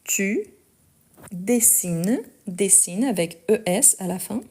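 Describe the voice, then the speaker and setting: A woman teaching French in a live lesson, dictating each sentence slowly and patiently explaining the conjugation rules. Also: mid-range voice, lively intonation, dark tone, husky. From Portugal. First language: Portuguese.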